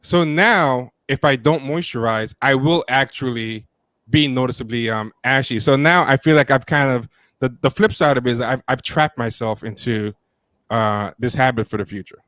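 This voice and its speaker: A man in his thirties chats on a livestream from a home studio, mocking himself and laughing between halting phrases. His voice sits at an ordinary pitch, close to the mic and very dry. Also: fairly bright tone, no room sound. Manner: self-deprecating, laughs often, trails off with fillers. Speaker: podcast co-host. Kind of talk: casual chat on a livestream. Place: home room with plants and posters. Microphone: studio mic with headset, on a video call.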